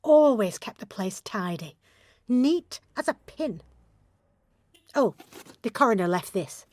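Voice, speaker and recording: A woman's voice: fairly high pitch, animated, takes it slowly, darkish tone, dry recording. An actress playing a gossipy, suspicious, chatty female building caretaker in an audio drama. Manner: chatty, busybody. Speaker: actor in an audio play. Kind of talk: naturalistic audio drama dialogue.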